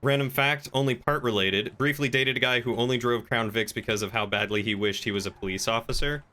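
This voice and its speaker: A man's voice: medium pitch, fairly even intonation, a little fast, bright, forceful tone. A man chatting casually as a game streamer, rambling and thinking aloud while commenting on play. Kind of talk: casual live-stream chat and gameplay commentary.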